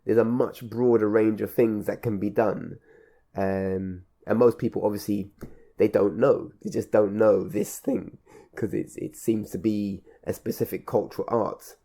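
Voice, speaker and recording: A man speaking reflectively as an interviewer, in measured, formal wording with hesitant pauses. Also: on the low side, fairly even intonation, vocal fry, dark tone.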